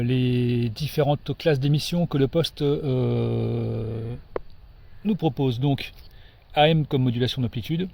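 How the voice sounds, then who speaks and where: A man giving an informal hands-on equipment demonstration as an amateur radio operator, explaining things hesitantly and pausing to find his words. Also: medium pitch, creaky, a little mellow, slight background noise.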